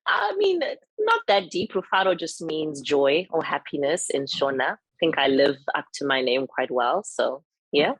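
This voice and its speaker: A young woman chatting as a podcast guest, animated and easygoing. Her voice sits low and husky, recorded close and dry with no room sound. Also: moderate pace, dry recording, poor audio. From South Africa. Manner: animated, laughs easily, acts out quotes. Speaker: guest writer. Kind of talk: casual podcast interview.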